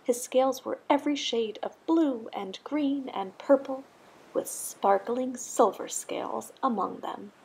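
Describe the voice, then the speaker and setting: A woman narrating a children's storybook aloud in an expressive, scripted style. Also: on the high side, a little slow, vocal fry, a little hiss or hum, low-quality recording. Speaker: storybook reader.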